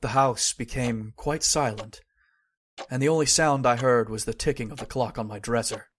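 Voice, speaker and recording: A man's voice: mid-range voice, moderate pace, lightly acted. Male actor for radio mystery lead: uneasy heir and narrator, slight background noise. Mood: brooding. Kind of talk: old-time radio horror acting and narration.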